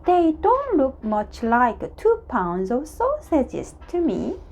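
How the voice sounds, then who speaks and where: A woman's voice: sits high, wildly swooping pitch, slow, a little mellow, pitched above the performer's own voice, full character voice. A woman voicing a cheerful, forgetful, silly little cartoon lady in a sing-song, exclaiming manner. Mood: silly, bright.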